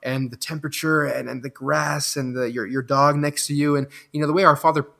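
A man's voice: a little high, fairly even intonation, fairly quick, gravelly, a bit bright. Reflective man raised in Belgium. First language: French. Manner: reflective, rambling, many restarts. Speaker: guest. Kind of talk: casual podcast conversation.

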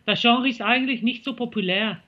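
A woman from Belgium with a Belgian accent, answering interview questions as a country singer, pausing often to search for words. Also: fairly high pitch, muffled recording. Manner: halting, searches for German words with many fillers.

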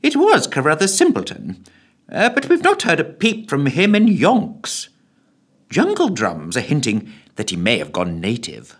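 A man's voice: a little high, wildly swooping pitch, a little slow, slightly rough, pitched above the performer's own voice, heavily acted. A man putting on a blustering pompous minister. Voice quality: blustering, flustered. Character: pompous blustering male defence secretary.

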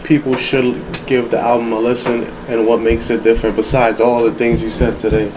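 Flat-voiced male podcast host. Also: medium pitch, brisk, background noise. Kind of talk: casual podcast talk.

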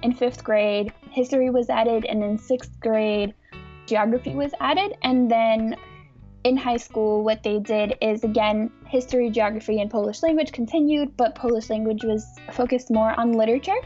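A woman's voice: a little high, fairly even intonation, normal speed, dark tone, lo-fi sound, soft background music. Young woman recalling her childhood. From United States. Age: young adult. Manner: reflective, with frequent "like" and restarts. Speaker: interviewee. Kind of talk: casual personal storytelling.

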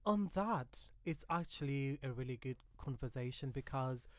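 A man's voice: mid-range voice, animated, moderate pace, dry recording. A man in his twenties chatting casually in a group, informal and opinionated, using plenty of slang.

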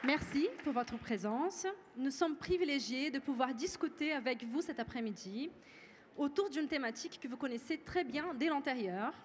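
A high-pitched woman with Portuguese as her first language, moderating a public debate. She talks in a measured way and reads prepared remarks. Her voice rings in a long hall reverb with faint room noise behind it. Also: lively intonation, a faint crowd. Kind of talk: formal event moderation. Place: lecture hall with audience.

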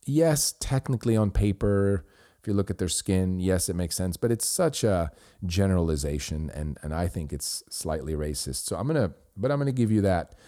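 Low-voiced middle-aged Swiss man. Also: expressive, moderate pace, warm tone. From Switzerland. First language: Swiss German. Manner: earnest, defensive, rambling with restarts. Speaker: podcast host. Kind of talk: responding to a listener review.

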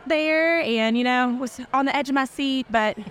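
A woman's voice: sits high, normal speed, fairly bright tone, clear, resonant tone. A woman teacher, a guest at a live event, talking with gushing enthusiasm. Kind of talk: casual interview. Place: outdoor event fair.

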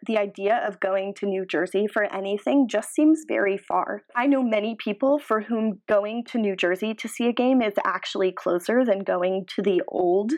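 Measured woman co-hosting a podcast. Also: mid-range voice, darkish tone. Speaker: podcast co-host. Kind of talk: casual analytical podcast discussion.

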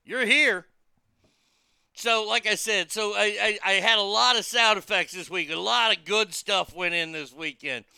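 Man, high-pitched bright talk host, irreverent and crude, dry close mic. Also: measured pace, gravelly, clear, resonant tone, no room sound. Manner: loose, irreverent, crude, reads listener messages aloud. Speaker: radio show host. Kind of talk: casual talk radio banter.

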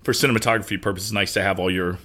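A man's voice: low voice, steady tone, very fast, sharp tone. A man co-hosting a podcast, chatty and analytical, joking while he discusses a movie. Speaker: podcast co-host.

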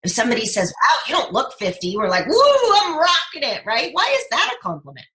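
A woman in her fifties speaks as a podcast guest, persuasive and emphatic. Her tone is bright and forceful, and she pushes her points home with lively conviction. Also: high voice, fairly quick. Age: 50s. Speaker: guest, speaker and brand coach. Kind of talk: podcast interview conversation.

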